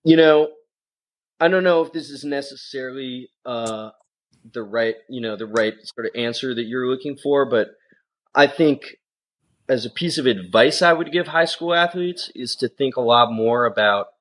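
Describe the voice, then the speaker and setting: A man's voice: medium pitch, moderate pace, fairly bright tone, rough recording. A man from the United States, a coxswain and coach, answering interview questions in a thoughtful, casual way with lots of "you know" and "um". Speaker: rowing guest, coxswain and coach.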